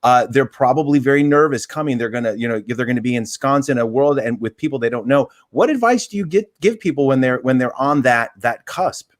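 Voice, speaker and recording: A man of middle pitch hosts a casual interview for a theater. He talks haltingly and restarts his sentences often. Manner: halting, many restarts and fillers.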